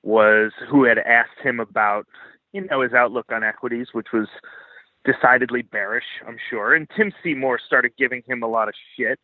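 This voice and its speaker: A man's voice: medium pitch, moderate pace, no room sound. Man, American accent, thin phone-like sound, dry, blunt and salty, self-deprecating, casual interview talk. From Philadelphia, United States. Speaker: podcaster, interview guest. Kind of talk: casual unscripted interview chat.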